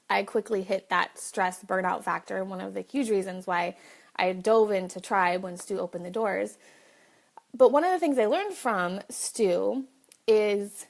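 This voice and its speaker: A woman's voice: mid-range voice, creaky. A woman hosting a casual live video, chatting directly to viewers, with a slight cough. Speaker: livestream host.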